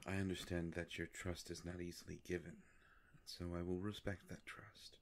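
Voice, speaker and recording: A man playing a courteous, melancholy vampire in a roleplay audio drama, speaking formally and hesitantly with long pauses and a gravelly voice. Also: flat delivery, background noise. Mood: gentle, courteous. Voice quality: gravelly, creaky low voice. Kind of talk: scripted roleplay audio drama addressed to the listener.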